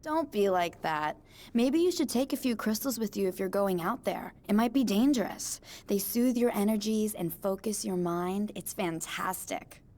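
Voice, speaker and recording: A woman's voice: mid-range voice, moderate pace, a little mellow, close to an everyday voice. A woman as a chatty new-age mystic. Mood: spacey. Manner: chatty, breezy, airy, eccentric. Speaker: motel resident, self-declared psychic. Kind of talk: game cutscene acting.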